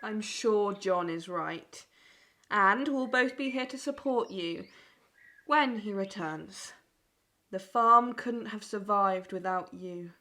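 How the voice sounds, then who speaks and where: A woman's voice: medium pitch, slow pace, bright, forceful tone. A woman playing a caring farmer's wife and mother in an amateur audio drama. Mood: supportive.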